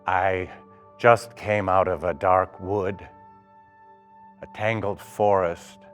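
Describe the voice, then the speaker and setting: A searching, reflective old man voices a letter in a deep register. He goes very slowly and haltingly, thinking as he speaks, with faint music underneath. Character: reflective, searching senior man writing to a companion. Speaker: actor reading seniors' writing. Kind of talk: dramatic letter reading.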